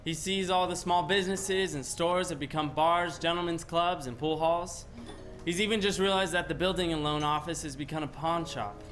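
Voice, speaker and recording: A man playing a gentle, earnest, childlike elderly angel in a 1940s-style radio play. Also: fairly high pitch, fairly even intonation, moderate pace, natural voice. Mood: dutiful, concerned. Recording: live stage play with music behind.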